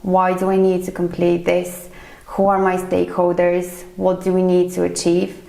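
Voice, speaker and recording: A woman's voice: fairly low pitch, completely flat delivery, moderate pace, vocal fry, mellow, reverberant. A woman from Bulgaria in her thirties, a finance professional, answering interview questions in a thoughtful, hesitant way with many fillers. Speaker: chief financial accountant, interview guest. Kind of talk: casual podcast interview.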